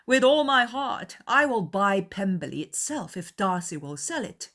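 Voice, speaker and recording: Woman as an excitable, cheerful young gentleman, very animated. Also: medium pitch, measured pace, voice pushed up. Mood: breezy, amiable. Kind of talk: dramatized period novel audiobook.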